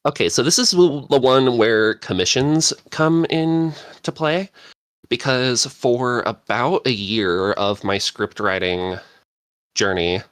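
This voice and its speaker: A man's voice: mid-range voice, slow, fairly bright tone, no room sound. A man chatting casually as a panelist, rambling and searching for words. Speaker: podcast panelist and scriptwriter. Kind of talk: casual chat.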